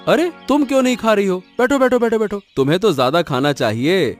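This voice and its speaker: A man's voice: high-pitched, expressive, quick pace, soft background music. A man voicing a mild, forgiving householder in a cartoon moral story, with a patient, explaining manner. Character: mild, forgiving male householder and papaya grower.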